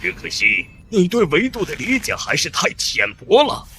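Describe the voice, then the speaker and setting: A man voicing an arrogant, godlike alien overlord in an animated comic drama, pompous, contemptuous and menacing. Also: high-pitched, normal speed, a little hiss or hum, exaggerated voice. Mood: haughty contempt.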